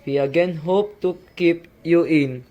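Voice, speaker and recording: Young man as mysterious radio host, high male pitch, scripted amateur narration, suspense drama. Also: measured pace, warm tone, highs cut off, lightly acted. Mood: ominous, formal. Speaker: announcer.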